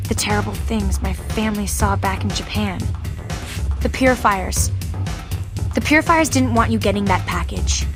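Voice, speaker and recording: An actress playing an earnest young adult mutant heroine in a video game, asking direct questions. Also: female voice, mid-range voice, normal speed, music in the background, lightly acted. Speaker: player character in a video game.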